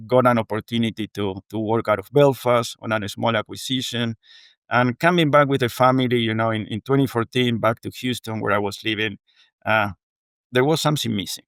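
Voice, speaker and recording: A middle-aged man with an Argentine Spanish accent talks through his thoughts in an earnest, reflective way, leaning often on "you know." His voice is smooth and resonant at a medium pitch, recorded dry and close to the mic. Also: fairly bright tone, no echo. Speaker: guest, water technology executive. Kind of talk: conversational interview.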